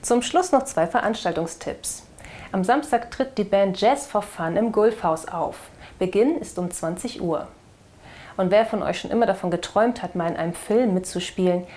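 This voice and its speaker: A young woman presents short news items from a script. Her voice sits at a medium pitch. She addresses viewers as the presenter of a video bulletin. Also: darkish tone. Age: young adult. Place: indoor video studio. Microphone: lapel mic.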